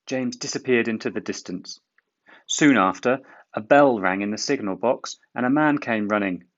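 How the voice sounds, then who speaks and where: Slow, very animated male storyteller. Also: medium pitch, highs cut off. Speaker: storyteller reading a children's book aloud. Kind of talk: expressive storybook narration.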